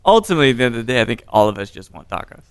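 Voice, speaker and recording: A man's voice: mid-range voice, big pitch swings, smooth, no room sound, clean studio sound. A man hosting a podcast interview, joking and laughing easily in casual chat. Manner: playful, jokey, quick to laugh. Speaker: podcast host.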